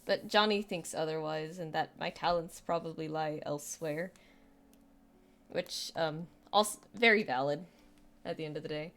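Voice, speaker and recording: A woman's voice: low voice, moderate pace, fairly bright tone. Chatty young woman with a girlish voice. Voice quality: youthful, girlish voice. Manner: rambling, chatty, self-deprecating, many restarts and fillers. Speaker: streamer. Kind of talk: casual live-stream chat.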